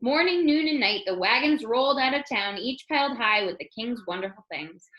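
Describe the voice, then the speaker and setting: A woman reading a children's story aloud as an expressive narrator. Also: a little high, normal speed, dull audio. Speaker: storybook reader. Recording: roomy, echoing room sound.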